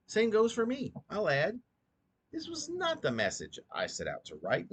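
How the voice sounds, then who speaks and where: Deep-voiced man reciting poetry with dramatic swoops. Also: fairly bright tone, slightly rough. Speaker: poet reading own poem.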